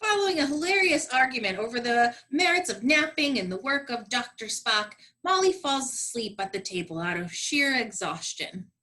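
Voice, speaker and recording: High, bright, animated woman comic narrator. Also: normal speed, hardly any pauses. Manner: sassy, dramatic. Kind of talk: comedic scripted narration.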